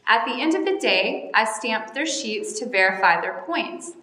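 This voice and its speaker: A woman from North Carolina, a teacher, giving a measured, orderly recorded welcome message to parents. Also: a little high, expressive, bright, forceful tone. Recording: echoey room with long reverb.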